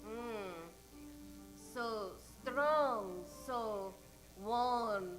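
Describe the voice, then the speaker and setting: Slow, high-voiced femme fatale. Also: female voice, soft background music, rough recording. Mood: seductive. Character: vain, seductive foreign stage actress, femme fatale. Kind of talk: improvised old-time radio detective comedy.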